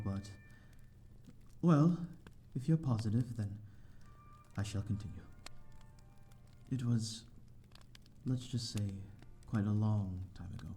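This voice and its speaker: A man's voice: lowish voice, mellow. A man playing a courteous, lonely vampire of an old manor in an ASMR audio roleplay, speaking in hesitant, formal, old-fashioned phrases. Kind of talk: slow ASMR audio roleplay, addressing the listener.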